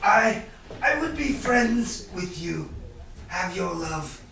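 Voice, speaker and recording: Guy acting sly wronged old-world moneylender in shakespeare, slow and bright, halting delivery, far from the mic. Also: high voice, very animated, gravelly, full character voice. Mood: slyly friendly. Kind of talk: staged reading of Shakespeare. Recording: distant, echoey room with background noise.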